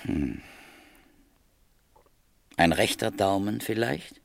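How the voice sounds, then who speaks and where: An actor playing a senior police detective in a German crime radio play, avuncular and deliberate, questioning in a dryly instructive way. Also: male voice, fairly low pitch, animated, gravelly, full character voice. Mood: measured, sober. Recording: vintage radio play with noise.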